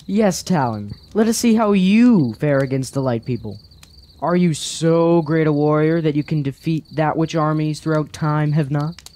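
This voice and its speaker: An actor playing a teasing, wine-loving young villager with a sardonic manner in a fantasy radio drama. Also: male voice, a little high, normal speed, dark tone, natural voice. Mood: mocking. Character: wry, wine-loving young village lad, mocking idler.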